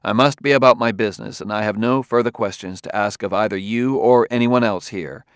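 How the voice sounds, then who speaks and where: A man voicing a blunt, determined male investigator in an audiobook, speaking formally with a sharp, forceful edge. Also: mid-range voice, fairly quick, clean tone, dry recording, studio quality, close to an everyday voice. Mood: firm. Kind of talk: audiobook character dialogue.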